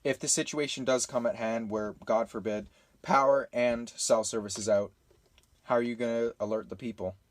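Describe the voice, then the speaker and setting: A man's voice: medium pitch, steady tone, normal speed, no echo. A man talking frankly and a little hesitantly in an unscripted YouTube vlog.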